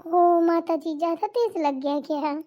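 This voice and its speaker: A woman's voice: very high voice, fairly even intonation, normal speed, warm tone, muffled recording. A woman voicing a cheeky young daughter-in-law. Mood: teasing. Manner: mocking, talks back, teasing. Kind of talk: Hindi cartoon comedy voice acting.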